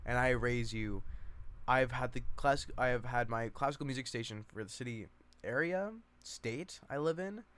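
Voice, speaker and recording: A man's voice: medium pitch, moderate pace, fairly bright tone. A man rambling casually to viewers as an amateur online reader, self-deprecating and given to swearing.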